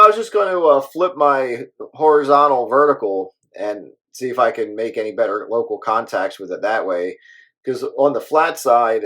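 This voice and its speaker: A man's voice: mid-range voice, fairly bright tone. A man chatting casually as a hobby radio operator, rambling along in an easygoing way.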